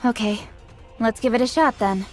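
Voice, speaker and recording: An actress playing a serious, composed young woman squad leader in a video game, speaking in an earnest, measured way. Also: a little high, natural voice. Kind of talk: English video game dub acting. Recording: music behind the voice.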